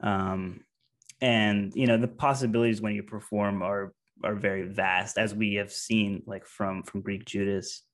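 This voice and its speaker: Guy cohosting a podcast, excited casual chat, lots of likes and uhs. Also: on the low side, unhurried. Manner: enthusiastic, many "like" and "uh" fillers. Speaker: podcast co-host.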